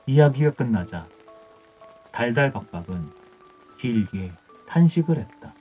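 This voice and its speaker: A man's voice: mid-range voice, big pitch swings, measured pace, warm tone, slightly rough. A man reading an old folktale as scripted bedtime audiobook narration. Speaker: audiobook storyteller. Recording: dull muffled audio with music.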